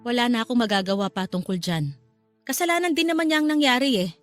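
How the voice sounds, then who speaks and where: A woman's voice: a little high, animated, quick pace, no room sound, lightly acted. A Filipino woman playing a cold, unforgiving older sister and grieving mother who speaks reproachfully in a radio drama. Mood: bitter. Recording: music under the dialogue.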